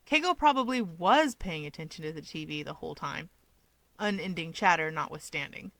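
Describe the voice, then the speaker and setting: Bright-voiced woman narrating fiction. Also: low-pitched, lively intonation, normal speed, dry recording. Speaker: audiobook narrator.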